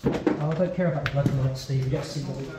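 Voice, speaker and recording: Man, blunt and offhand, middle pitch, echoey room, loud background hiss. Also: fairly even intonation, quick pace. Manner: blunt, offhand, swears lightly. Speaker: fellow explorer. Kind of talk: casual banter.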